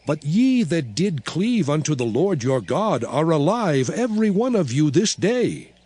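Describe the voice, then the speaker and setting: Slow, solemn commanding biblical prophet. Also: male voice, on the high side, very animated, mellow, husky, full character voice. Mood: solemn, exhorting. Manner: solemn, oratorical, measured, emphatic. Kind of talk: dramatized scripture reading. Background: music.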